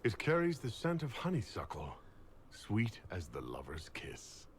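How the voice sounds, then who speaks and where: An actor playing a suave, devoted male adventurer in video game dialogue, speaking softly, sincerely and in measured phrases. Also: lowish voice, wildly swooping pitch, slight background noise. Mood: tender, poetic.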